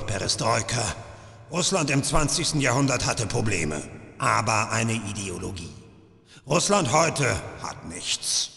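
An actor playing a sadistic, smug older gunslinger interrogator in a video game dub, taunting with theatrical, deliberate menace. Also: male voice, medium pitch, vocal fry, bright, forceful tone, heavily acted. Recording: echoey, reverberant game audio.

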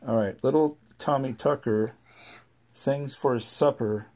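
A parent with a relaxed, sing-song way of reading nursery rhymes aloud and chatting with a small child at home. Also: mid-range voice, measured pace.

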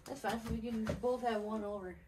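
A young man narrating his ice fishing to the camera, excitable and quick to exclaim, recorded in a small wooden fish house. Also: high-pitched, heavy background noise. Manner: excitable, swears and exclaims at bites. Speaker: fishing vlogger. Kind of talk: excited casual commentary.

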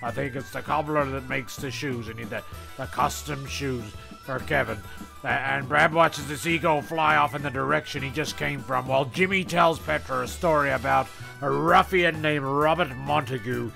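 A man's voice: medium pitch, normal speed, gravelly, bright, forceful tone, faint music behind. A man narrating a comedy script loosely, rambling and stumbling over his reading.

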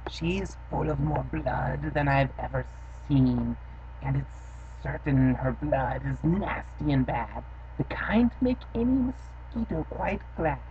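A man voicing a scheming, hungry female mosquito queen in a read-aloud storybook poem, drawing out his words in a slow, menacing way. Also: mid-range voice, a little mellow, close to an everyday voice. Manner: slow, drawn-out menacing delivery. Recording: dull lo-fi webcam sound with faint hiss.

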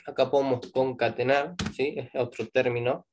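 A man's voice: mid-range voice, steady tone, moderate pace, a little mellow, a little hiss or hum. A man with an Argentine Spanish accent teaching a live online programming class, explaining step by step and checking in with "¿sí?" after each point.

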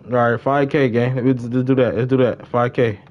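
A man's voice: medium pitch, steady tone, moderate pace, muffled recording. A man livestreaming a game, chatting casually in slang and often repeating his phrases. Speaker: livestreamer and gamer. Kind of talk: casual gaming livestream banter.

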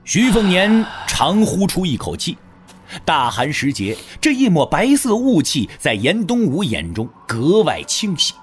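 Highly animated male storyteller. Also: unhurried. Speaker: narrator. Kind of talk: dramatic scripted storytelling narration. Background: faint music.

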